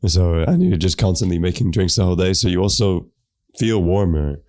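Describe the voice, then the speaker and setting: Male co-host, hoarse with a cold, deep dark voice, easygoing and profane. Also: animated, fairly quick. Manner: laid-back, swears casually. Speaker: podcast co-host. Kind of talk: casual chat.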